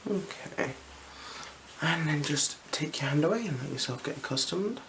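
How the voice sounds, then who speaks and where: A person with a slight cold role-playing an eye examiner in a gentle, unhurried ASMR style, half whispering and murmuring little hums between short phrases, close to the microphone. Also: mid-range voice, expressive, gravelly, background noise. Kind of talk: ASMR roleplay, soft-spoken and whispered.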